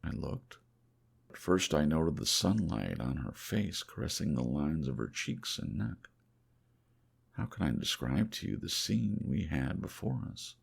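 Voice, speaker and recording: An extremely low-voiced man narrates an audiobook in an ASMR style. His voice is thick with gravelly fry, and he tells the story in a measured, dramatic way. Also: big pitch swings. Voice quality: gravelly, creaky. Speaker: audiobook reader. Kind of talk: hushed ASMR audiobook narration of classic fiction.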